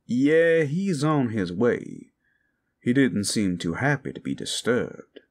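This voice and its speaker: Casting: male actor as regular-guy fantasy sidekick, informal and questioning, animated mid-range voice. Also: medium pitch, takes it slowly. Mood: puzzled. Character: casual, puzzled, down-to-earth male sidekick. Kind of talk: fantasy audio roleplay, improvised.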